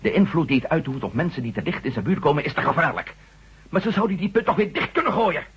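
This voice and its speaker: An actor playing a headstrong, indignant reporter and former astronaut in a radio drama, emphatic and sardonic, with a husky voice. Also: male voice, a little high, expressive, quick pace, fairly bright tone, exaggerated voice. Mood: grave, insistent. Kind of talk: Dutch radio drama acting. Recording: dull, lo-fi old radio recording.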